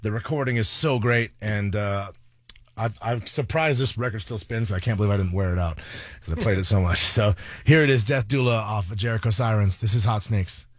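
American guy on the radio, talking off the cuff, sincere and a bit halting, dry mic. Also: on the low side, no room sound. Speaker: radio host. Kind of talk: unscripted radio talk, tribute. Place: radio studio.

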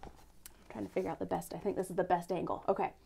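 A young woman giving a gentle Lent reflection at home and reading a picture book aloud, speaking softly and often near a whisper into a lapel mic. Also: on the low side, level tone, very fast, vocal fry, slight background noise. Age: young adult. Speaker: church speaker. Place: home living room, indoors.

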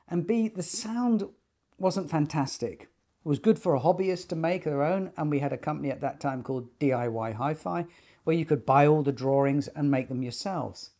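A man in his sixties giving an informal explanatory talk to camera, speaking in measured, deliberate phrases with pauses. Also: on the high side, animated, mellow. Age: 60s. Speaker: loudspeaker designer and company technical director. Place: small attic listening room indoors.

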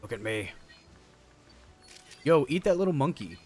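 A man's voice: mid-range voice, very animated, measured pace, a little mellow, husky. A man doing casual game stream commentary, chatty and slangy, thinking aloud as he plays. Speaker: streamer, show host. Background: music.

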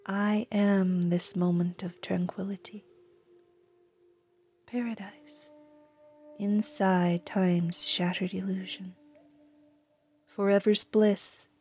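A woman recites her own poem in a breathy, often whispery voice, with deliberate, reverent phrasing and pauses. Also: medium pitch, steady tone, a little mellow. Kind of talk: slow contemplative poetry recitation.

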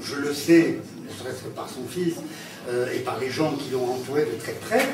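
A man's voice: mid-range voice, moderate pace. A man recalling an actor at a panel in a classroom, talking in a hesitant way with many fillers and restarts. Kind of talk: anecdotal conversation. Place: classroom with chalkboard, indoors. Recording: echoey room, distant mic, audience noise.

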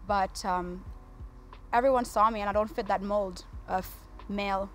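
A woman's voice: medium pitch, steady tone, moderate pace, faint music behind. A woman in her twenties chatting casually and playfully as a guest on a TV talk show, poking fun at herself. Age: 20s. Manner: playful, self-deprecating. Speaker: guest, air traffic controller. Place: TV studio with sofas.

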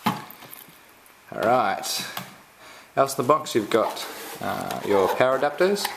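An Australian man giving a casual unboxing walkthrough at home, halting and full of ums and restarts. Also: medium pitch, lively intonation, gravelly, background noise. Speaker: tech reviewer. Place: indoors at home, filming the box up close.

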